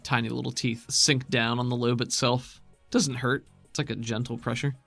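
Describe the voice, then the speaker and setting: A man's voice: mid-range voice, level tone, moderate pace, gravelly, a bit bright, no room sound. A man narrating a tabletop roleplaying game as its game master. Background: background music.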